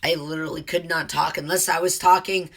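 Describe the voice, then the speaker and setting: A man hosting his own live stream while sick with a cold, his voice deeper than usual, rambling and answering chat with lots of ums. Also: steady tone, fairly quick, a bit bright, slight background noise. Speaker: livestream host.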